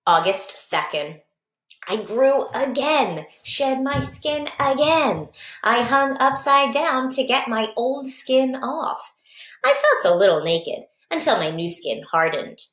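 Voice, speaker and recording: A woman's voice: high-pitched, lively intonation, takes it slowly, fairly bright tone, voice pushed up, heavily acted. A woman voicing a small, cocky young male praying mantis reading out his diary in playful, boastful short sentences, storybook style. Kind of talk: expressive children's storybook reading. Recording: echoey basement room.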